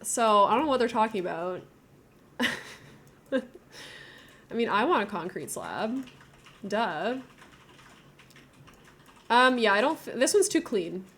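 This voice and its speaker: A woman streams a building game and chats as she goes. She thinks out loud in a casual, giggly way, at a middling pitch. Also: animated, slow, pauses often, slight background noise. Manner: chatty, thinking aloud, giggly.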